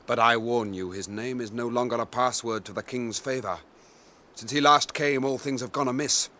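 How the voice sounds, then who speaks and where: An actor playing a proud, wary cavalry commander, blunt and honourable, speaking formally and with authority in a radio fantasy drama. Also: male voice, mid-range voice, moderate pace, pushed and bright, natural voice. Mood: grave, confiding.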